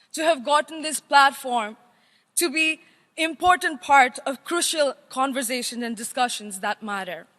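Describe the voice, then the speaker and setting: Bright, high-voiced young woman orator. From Nepal. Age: young adult. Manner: earnest, emphatic oratory with measured phrases. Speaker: youth chair giving an opening address. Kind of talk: formal prepared speech. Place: large assembly hall, speaking to delegates. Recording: echoing large hall, long reverb.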